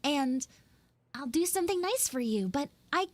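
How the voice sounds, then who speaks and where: A woman's voice: high-pitched, animated, moderate pace, dry recording. A young woman playing a flustered, pleading tsundere schoolgirl in a live roleplay, rambling and stammering dramatically. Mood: pleading, bargaining. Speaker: live audio roleplay streamer. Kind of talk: improvised live character roleplay.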